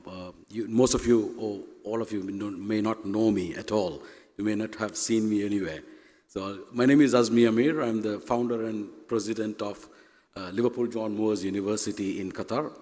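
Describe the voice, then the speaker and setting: A man's voice: fairly low pitch, a little mellow. A middle-aged man with a Sri Lankan accent, a native Tamil speaker, gives a formal prepared speech as a conference speaker on stage. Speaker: university president, conference speaker. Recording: echoey hall with long reverb.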